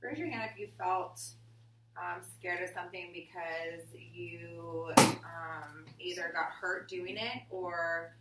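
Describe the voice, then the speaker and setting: A woman teacher reading a picture book aloud to her class in a deliberate storytelling way and prompting pupils with questions. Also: lowish voice. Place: indoor classroom. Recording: roomy classroom, distant mic, faint noise.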